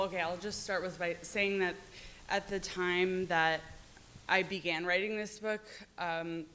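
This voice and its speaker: A woman's voice: on the low side, a bit bright. An American woman novelist talking informally about her own work, candid and rambling, often saying "like". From New York City, United States. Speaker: novelist discussing own book. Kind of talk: informal author talk.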